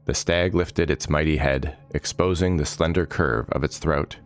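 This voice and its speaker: A man reading dramatic fantasy narration in a measured, solemn manner, giving short phrases weight. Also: extremely low pitch, fairly even intonation, faint music behind.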